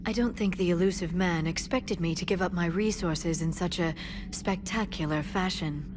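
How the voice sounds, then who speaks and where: A woman playing a composed, earnest alien scholar and information broker in a video game, speaking in a measured, articulate way. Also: on the low side, lightly acted. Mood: reflective, measured. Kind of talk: video game character dialogue. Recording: clear ambient noise behind game dialogue.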